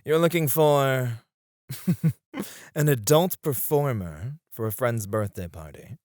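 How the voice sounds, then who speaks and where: A man playing a suave, flirtatious male escort in an ASMR roleplay, teasing and courteous, with drawn-out phrasing. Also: medium pitch, lively intonation, darkish tone, no echo, studio quality. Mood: smooth, businesslike teasing. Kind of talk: ASMR roleplay, addressed to one listener.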